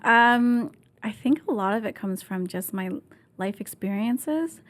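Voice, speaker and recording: A woman in her thirties, born in Vietnam and raised in Canada, talking candidly as a podcast guest, with lots of fillers, restarts and laughs, on a boom-arm mic in a podcast studio. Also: animated, a little slow. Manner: chatty, laughs often, many fillers and restarts. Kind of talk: candid conversational interview. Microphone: desk mic on boom arm.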